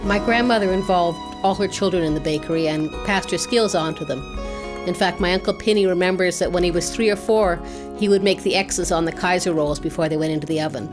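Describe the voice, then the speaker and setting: Woman narrator, lowish voice, calm storytelling, music underneath. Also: brisk. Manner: calm storytelling with occasional fillers. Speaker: narrator, family descendant. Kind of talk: documentary narration.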